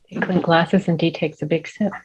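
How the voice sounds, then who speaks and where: A woman's voice: deep voice, fairly even intonation, normal speed, a little hiss or hum. A woman reading scripted stage directions as the narrator of a table read. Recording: dull, lo-fi audio.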